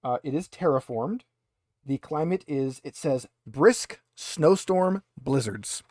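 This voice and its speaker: A man's voice: mid-range voice, lively intonation, slow pace, a little mellow, no echo, clean studio sound. A man running a tabletop roleplaying game, narrating conversationally with wry asides. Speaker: game master.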